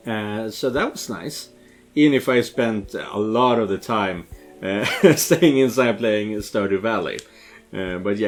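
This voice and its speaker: Expressive man chatting through a let's play. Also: lowish voice. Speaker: streamer playing and commenting on a visual novel. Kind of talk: casual let's play commentary.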